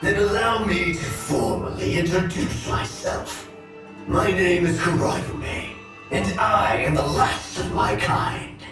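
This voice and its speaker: A man's voice: sits high, expressive, slow. A man voicing an ancient nightmare monster in a video game, grandiose, sly and formally menacing. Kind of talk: video game villain acting. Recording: echoing and distant, music behind.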